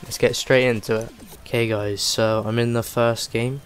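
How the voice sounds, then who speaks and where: Man, rough voice from a cold, chatty rambling gameplay talk, flat delivery, game music clearly behind. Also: medium pitch, moderate pace. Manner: rambling, chatty, many "like" fillers. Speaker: gaming channel host. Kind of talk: casual gameplay commentary.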